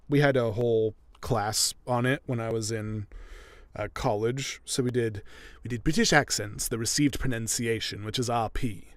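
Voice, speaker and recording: A man's voice: medium pitch, no echo. A young man chatting casually and explaining his audio editing work as he goes. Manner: relaxed, chatty, laughs often, explains as he goes. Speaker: audiobook narrator and editor. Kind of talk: casual chat while editing.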